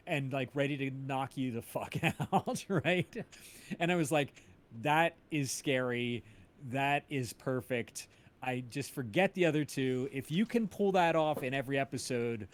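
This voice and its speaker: A man chatting casually in an interview as an audio drama creator, telling animated anecdotes and often checking in with tag questions. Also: mid-range voice. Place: indoors, on a group video call.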